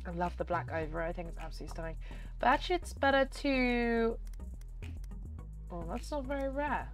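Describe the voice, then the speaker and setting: Brief: young woman, hoarse from a cold, lively up-and-down gaming chatter, soft game music under. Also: fairly high pitch, brisk. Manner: chatty, talks to the game and viewers. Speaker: gaming channel host. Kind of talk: casual game commentary. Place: home room, indoors. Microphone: desk microphone on stand.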